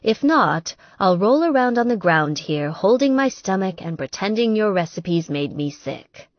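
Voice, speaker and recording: A woman's voice: mid-range voice, very animated, measured pace, dry recording. A contrite but determined male lead in an audiobook romance, played by a woman narrator. He coaxes earnestly and takes his time. The sound is dry and slightly muffled. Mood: pleading, teasing. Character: adult man, contrite, determined, teasing romance hero.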